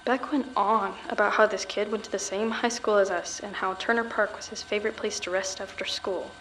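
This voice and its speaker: A woman's voice: mid-range voice, steady tone, fairly quick, fairly bright tone, natural voice, rough recording. A woman playing a guarded, subdued teenage girl accused of murder, in an audio drama. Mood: subdued, reminiscing. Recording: faint background noise.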